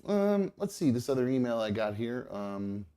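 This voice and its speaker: A man hosting a live podcast, chatting casually with viewers. He is stuffed up and gravelly from a cold, and he rambles with lots of fillers and restarts. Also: medium pitch, very animated, normal speed, mellow. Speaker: podcast host.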